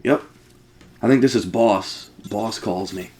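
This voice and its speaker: Low-voiced young man casually gaming. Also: unhurried, a little mellow, slight background noise. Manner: sweary, rambling, thinking aloud. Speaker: let's play gamer. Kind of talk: casual game commentary.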